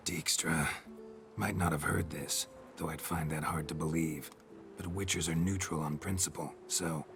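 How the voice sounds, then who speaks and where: An actor playing a stoic, world-weary monster hunter in a game, gravelly, hushed and deadpan. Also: male voice, very deep voice, measured pace. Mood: guarded, firm. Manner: terse, deadpan, clipped. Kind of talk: understated video game dialogue. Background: background music.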